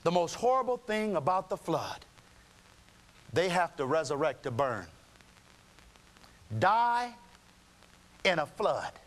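A man preaching an impassioned sermon, pleading with long drawn-out phrases. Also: a little high, big pitch swings.

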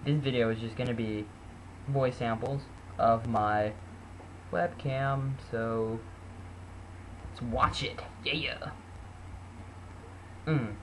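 A man's voice: medium pitch, takes it slowly, pauses often, rough recording. Brief: teen boy, rambling and self-mocking, webcam mic, slight hiss. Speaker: vlogger. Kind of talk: casual webcam vlog.

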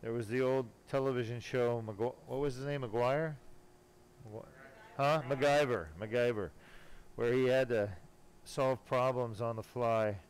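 A man working as a spine surgeon, giving casual, self-assured live commentary while he operates, pausing now and then mid-task. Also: mid-range voice, fairly even intonation. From born in Germany, later lived in California. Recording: clearly noisy operating room sound. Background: room noise and ambience.

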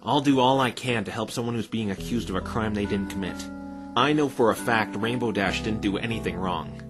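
A man's voice: lowish voice, fairly quick, lightly acted. A man playing an earnest, plain-spoken, wry male defense lawyer in conversational game-style dialogue. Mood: earnest, explaining. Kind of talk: fan dub of visual novel game dialogue. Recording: background music under the voice.

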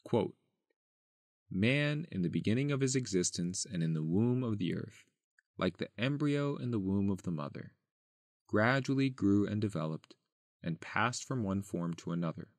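A man reading a written article aloud as scripted narration, in a measured, deliberate and formal manner. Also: lowish voice, no echo, studio quality. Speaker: article reader.

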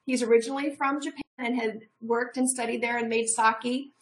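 A woman's voice: on the high side, steady tone, a little fast, rough recording. A middle-aged woman from a tourism office, a guest on a TV show, talking upbeat and promotional over a video call from a room at home.

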